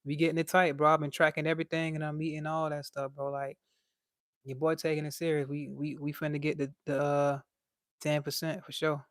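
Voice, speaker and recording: A man's voice: on the high side, monotone, a little fast, a bit bright, dry recording, clean studio sound. A young man with a light voice, chatting casually on a livestream and reading out chat in a laid-back, slangy way.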